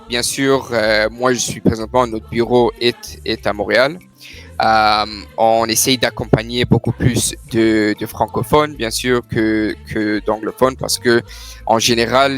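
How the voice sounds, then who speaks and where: Quebec guy, lawyer, talks steady and explains stuff with lots of euhs, soft music under. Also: mid-range voice. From Canada. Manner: measured, explanatory, with frequent fillers. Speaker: immigration lawyer. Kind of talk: casual interview answers.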